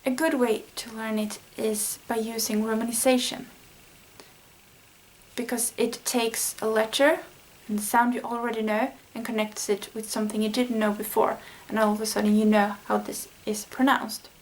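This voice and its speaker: Swedish woman, native speaker of Swedish, earnest lesson vlogger; light-pitched, steady, little melody. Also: normal speed, poor audio. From Sweden. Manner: earnest, thinking aloud with small fillers. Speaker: language learning vlogger. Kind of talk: casual explanatory vlog lesson.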